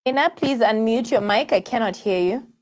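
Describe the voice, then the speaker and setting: A woman's voice: a little high, normal speed, a little mellow. A woman hosting a live call-in show, talking with many fillers and restarts as she takes viewers' calls. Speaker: host taking viewer calls.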